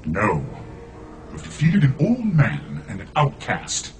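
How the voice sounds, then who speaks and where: A man playing a masked dark lord in a video game, commanding and menacing, speaking in a solemn, imperious way. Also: medium pitch, wildly swooping pitch, slow, faint music behind, heavily acted. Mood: stern, commanding. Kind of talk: cinematic video game acting.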